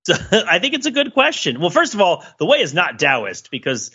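Bright, lively male podcaster, slightly dull recording. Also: high-pitched, fairly quick, husky. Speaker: podcast panelist. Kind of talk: casual comedic discussion.

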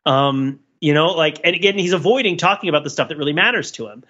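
Bright-voiced man, wry and digressive. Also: fairly high pitch, expressive, fast talker, muffled recording. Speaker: podcast panelist. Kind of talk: casual discussion, rambling pop culture analysis.

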